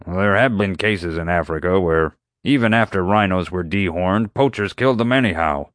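A man puts on a gruff, crotchety animal-park vet, prickly and quick to growl back. He speaks a little higher and louder than the narrator's own low voice, close and dry. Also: dry recording.

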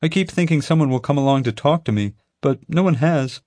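A man's voice: fairly high pitch, expressive, a little fast, darkish tone, no echo, voice pushed up, natural voice. A man voicing an earnest, anxious young woman zoo worker who pleads for help. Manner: eager, pleading.

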